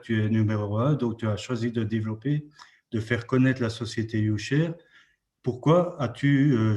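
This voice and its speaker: A man's voice: medium pitch, dark tone. Polite man asking interview questions. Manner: polite, hesitant with fillers. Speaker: interviewer, channel host.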